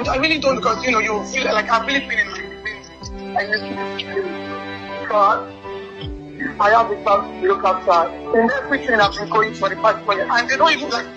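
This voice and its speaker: A young woman in an emotional personal phone conversation, speaking haltingly and often repeating her words. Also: medium pitch. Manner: halting, repetitive phrasing. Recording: band-limited leaked phone call with music. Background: music.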